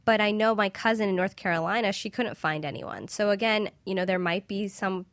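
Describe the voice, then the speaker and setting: A woman's voice: mid-range voice, fairly quick, a bit bright, dry recording. A woman guest expert answering interview questions on a radio show in an explanatory, hedging way.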